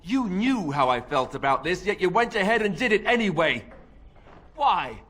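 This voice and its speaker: An actor playing a reluctant young warrior newly made king in a video game, halting, earnest and self-deprecating. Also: high voice, sing-song, fairly bright tone, slightly rough, exaggerated voice. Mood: dismayed. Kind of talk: video game cutscene acting.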